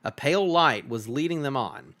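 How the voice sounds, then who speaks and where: A man's voice: medium pitch, lively intonation, normal speed, fairly bright tone. A man reading a fantasy novel aloud as a streamer, in dramatic storybook narration.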